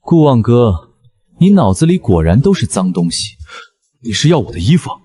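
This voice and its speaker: A man's voice: mid-range voice, sing-song, normal speed, mellow, slightly rough. A man playing a bold, loyal young general in a historical drama, given to teasing. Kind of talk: dubbed historical romance drama acting.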